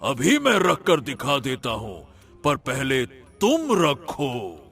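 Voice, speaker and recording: Cartoon demon: gravelly, blustering and gullible, swinging widely in pitch. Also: male voice, huge pitch swings, a bit bright, heavily acted. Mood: wary, boastful. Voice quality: raspy, gravelly. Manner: slow, drawn-out, blustering threats with gloating laughter. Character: boastful, menacing, gullible male demon. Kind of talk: exaggerated Hindi cartoon story acting. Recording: music behind the voice.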